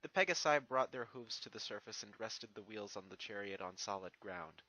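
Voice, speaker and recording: Bright, dry-miked man reading fan fiction. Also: lowish voice, expressive, a little fast, no room sound, low-quality recording. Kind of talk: casual introduction and narrated story reading.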